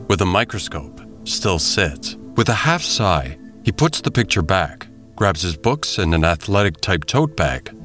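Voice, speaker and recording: A man narrating a scripted audio drama as a measured, descriptive storyteller. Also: low voice.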